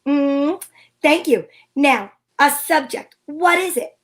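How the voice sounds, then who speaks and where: A woman's voice: high voice, takes it slowly, a bit bright. A woman playing a breezy, wisecracking private detective in an amateur old-time radio drama, wry and playful.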